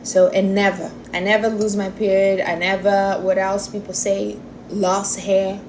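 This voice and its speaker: Female, forty, livestream host. Unhurried, mid-pitched, casual chat with non-native phrasing. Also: flat delivery, slight background noise, low-quality recording. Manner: informal, non-native English phrasing, addresses listeners as honey and guys. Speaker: livestream host answering viewer questions on diet.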